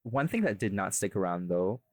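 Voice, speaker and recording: A man's voice: on the low side, big pitch swings, moderate pace, no room sound, clean studio sound. A man hosting a casual behind-the-scenes chat about his audio work, self-deprecating and jokey, with some swearing. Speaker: podcast host, audio creator and voice actor.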